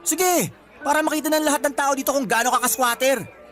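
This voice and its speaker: A man's voice: extremely high pitch, fairly quick, a bit bright. A man playing an arrogant graduate in his twenties, a scornful, taunting younger brother with a Filipino accent in a radio drama. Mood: taunting anger. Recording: music bed under the voice.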